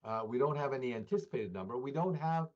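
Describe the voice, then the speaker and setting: A man giving spontaneous analysis as an interviewed expert, halting and repeating words as he thinks. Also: mid-range voice, expressive, darkish tone. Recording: dull lo-fi interview audio.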